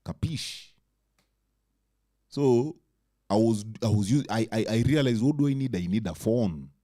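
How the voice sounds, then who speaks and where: A man with a big voice, co-hosting a casual podcast, animated and swearing freely. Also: on the low side, unhurried. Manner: animated, swears freely, slips into Swahili words. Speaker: podcast co-host.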